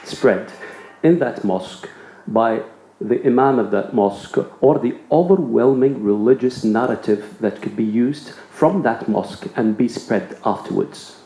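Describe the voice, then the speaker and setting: A man interpreting live at a conference, delivering his words haltingly, phrase by phrase. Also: medium pitch, mellow. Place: indoor conference. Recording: roomy sound with faint background noise.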